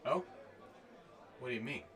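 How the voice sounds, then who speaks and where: An actor playing a wry, wary adventurer for hire, casual and skeptical, in naturalistic film dialogue. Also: male voice, medium pitch, animated, moderate pace, crowd noise, natural voice. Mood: curious, skeptical.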